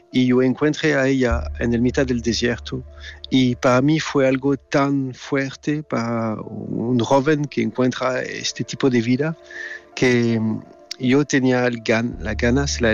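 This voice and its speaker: A middle-aged Swiss man with a French accent, answering interview questions haltingly and repeating words as he searches for them. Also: medium pitch, creaky, dull audio. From Switzerland. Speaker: film director, interview guest.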